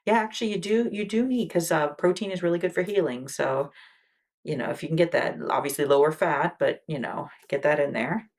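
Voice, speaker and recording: Low gravelly woman in medical ASMR roleplay. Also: brisk. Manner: gentle, reassuring, with frequent soft "okay"s and whispered asides. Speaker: ASMR roleplay creator playing a wound care clinician.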